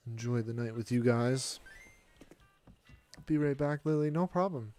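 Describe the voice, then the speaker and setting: A man's voice: medium pitch, unhurried, darkish tone. A man streaming a video game live, chatting casually and answering viewers' comments aloud in a laid-back way.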